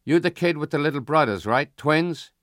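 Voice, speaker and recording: A male narrator acts out a kindly but gruff grocer from a storybook. He talks in blunt, clipped bursts with tag questions. The voice is clear and dry, lifted above the reader's usual tone. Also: a little high, moderate pace, a bit bright, no room sound, voice pushed up, natural voice. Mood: gruffly generous. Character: gruff, kindly Italian immigrant grocer, adult man.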